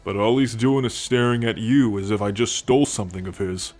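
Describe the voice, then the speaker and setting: A man voicing a friendly, teasing alien soldier in an intimate ASMR roleplay, talking in a gentle, playful, confiding way. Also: fairly low pitch, brisk, faint music behind, close to an everyday voice. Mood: teasing, fond. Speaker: voice actor in an audio roleplay. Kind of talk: ASMR roleplay, intimate one-sided conversation.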